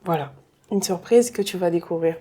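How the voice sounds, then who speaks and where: A woman's voice: on the low side, normal speed, warm tone, slightly rough. A woman hosting a studio interview, chatty and playful, opening with a teasing intro before asking questions. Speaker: interview host. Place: studio set.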